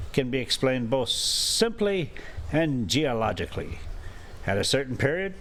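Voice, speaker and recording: A man reading a storybook aloud as a patient, pedantic professor lecturing in a measured, deliberate tone. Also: mid-range voice.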